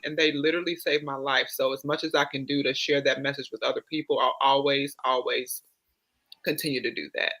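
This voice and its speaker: A woman's voice: low voice, pushed and bright, rough recording. A woman with an American accent speaking as a guest author in a casual interview, earnest and emphatic, repeating phrases. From United States.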